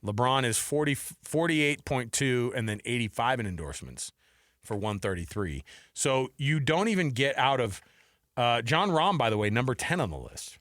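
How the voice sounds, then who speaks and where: A man's voice: mid-range voice, sing-song, moderate pace, fairly bright tone, no room sound. A man co-hosting a sports radio show, talking casually as he runs through lists, close on a studio microphone. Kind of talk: casual sports talk, running a list quiz.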